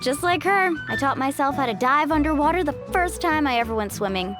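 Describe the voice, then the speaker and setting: A woman's voice: high voice, animated, a little fast, over music. A woman playing a cheerful, outgoing young guide in a video game, with a chatty, playful manner. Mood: fondly reminiscing. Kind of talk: English video game voice acting.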